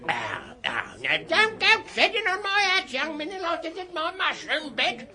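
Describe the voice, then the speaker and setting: An actor voicing a blunt, grumbling, miserly old uncle in a radio drama. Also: male voice, extremely high pitch, wildly swooping pitch, moderate pace, sharp tone, full character voice. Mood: crotchety. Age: older. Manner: grumbling, mutters and exclaims, wildly swooping. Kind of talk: British radio drama acting.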